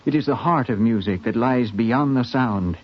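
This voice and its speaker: Male actor as proud, intense young poet, declamatory, average pitch, muffled 1950s radio drama sound. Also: normal speed, close to an everyday voice. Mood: dreamy. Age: young adult. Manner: declamatory, rapturous, poetic. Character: intense, grandiose young poet, orphaned and defiant.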